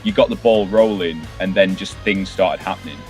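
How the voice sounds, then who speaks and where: A man's voice: low-pitched, moderate pace, darkish tone. A man asking questions in a casual music interview, informal and tentative in his phrasing.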